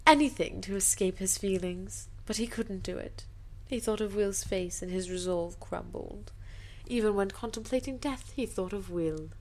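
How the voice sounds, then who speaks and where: A woman's voice: mid-range voice, moderate pace, few pauses, a little hiss or hum. A woman doing an amateur dramatic reading of fanfiction, theatrical, with incredulous, sarcastic asides.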